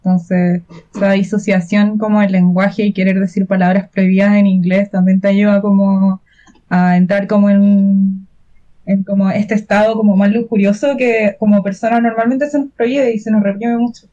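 A woman co-hosting a podcast, talking frankly in quick casual chat. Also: mid-range voice, flat delivery, dark tone, highs cut off. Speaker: podcast co-host.

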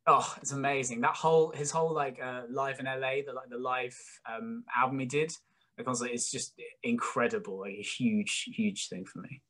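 A man's voice: mid-range voice, normal speed, fairly bright tone. British man, early 20s, musician interviewee. Informal, self-mocking, jokey and meandering. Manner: self-deprecating, rambling, jokey. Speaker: guest, singer-songwriter musician. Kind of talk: casual chat interview.

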